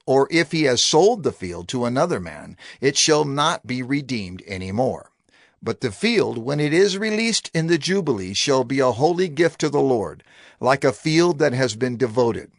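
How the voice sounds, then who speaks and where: A man voicing the Lord as he lays down laws, reading in a measured, declamatory way for a dramatized scripture recording. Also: mid-range voice, sing-song, dry recording, studio quality. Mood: stately. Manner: measured, declamatory reading with rising and falling stresses. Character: the Lord giving laws, a stately divine male voice.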